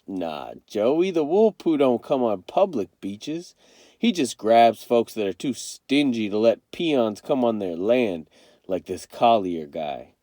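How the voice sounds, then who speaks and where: A streetwise big brother from a storybook, voiced by a man. He is bossy and dismissive, with slangy taunts that jump around in pitch. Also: very animated, slow, mellow, no echo, studio quality.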